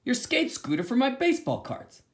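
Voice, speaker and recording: A man voicing a smooth-talking, cocky young boy who talks friends into trades, as a storybook reading. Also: extremely high pitch, wildly swooping pitch, moderate pace, a bit bright, pitched above the performer's own voice, full character voice. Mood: persuasive. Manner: persuasive, cocky.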